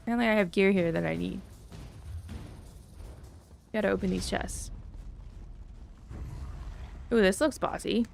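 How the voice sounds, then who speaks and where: A woman's voice: medium pitch, a little slow, vocal fry, long pauses, a little hiss or hum. A woman chats casually while live streaming a game, thinking aloud in a rambling, self-deprecating way.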